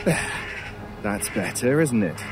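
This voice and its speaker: Urbane talking crow, noisy backdrop. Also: male voice, fairly low pitch, expressive, slow pace. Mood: teasing, unruffled. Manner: arch, genteel, theatrically polite. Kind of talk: audio drama acting. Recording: clearly noisy bed of bird sounds. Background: crows cawing, outdoor ambience.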